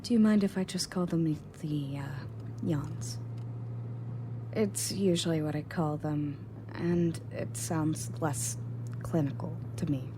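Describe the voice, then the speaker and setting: A woman's voice: low-pitched, slow pace. A woman playing a confident, matter-of-fact rescue agent in an audio roleplay, speaking conversationally to the listener. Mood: casual, wry. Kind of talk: scripted audio roleplay, in-character monologue to listener. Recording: clearly audible background noise and ambience.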